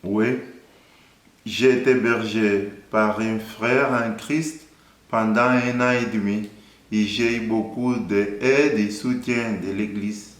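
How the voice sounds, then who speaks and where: A man in his thirties from Angola, a Portuguese speaker, calmly and earnestly sharing his faith story in an interview. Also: fairly low pitch, slow pace, echoey room. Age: 30s. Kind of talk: personal faith testimony.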